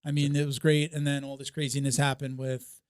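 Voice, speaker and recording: A man hosting a podcast in casual chat, halting and circling back over his phrases. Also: mid-range voice, little pitch movement, clean tone, dry recording, clean studio sound. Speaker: podcast host.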